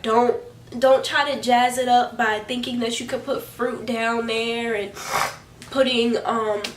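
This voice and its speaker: A woman's voice: on the high side, recorded from a distance, a little hiss or hum. A young woman vlogger, chatting casually to camera. She sounds stuffy from a cold, and she rambles with frequent restarts and fillers. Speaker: beauty and hygiene vlogger. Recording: echoey room, voice a bit off-mic.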